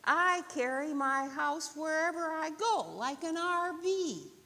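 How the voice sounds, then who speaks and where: A woman voicing a stubborn, literal-minded storybook turtle who moralizes in an emphatic, deliberate way. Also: high voice, lively intonation, pushed and bright, voice pushed up, exaggerated voice. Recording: long hall reverb, faint noise.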